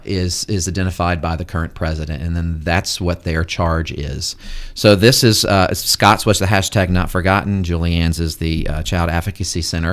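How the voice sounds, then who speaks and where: Deep-voiced, articulate male school head. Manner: articulate and explanatory. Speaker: head of school and Rotary Club board member. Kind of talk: casual radio interview talk. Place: radio studio.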